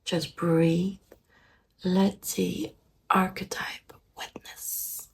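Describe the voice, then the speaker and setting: Woman playing a slow, whispering ASMR therapist. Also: on the low side, heavily acted. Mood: calm, hypnotic. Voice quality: breathy, often whispered. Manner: slow, soothing, hushed, deadpan. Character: calm, soft-spoken woman therapist guiding a parody meditation. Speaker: ASMR performer. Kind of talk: whispered ASMR guided meditation roleplay.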